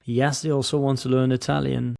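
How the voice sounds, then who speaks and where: A man with a mellow, dark tone hosts a casual podcast interview and thinks aloud with plenty of fillers. His voice sounds dry and close to the mic. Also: mid-range voice, flat delivery, brisk, gravelly, no echo. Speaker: podcast host.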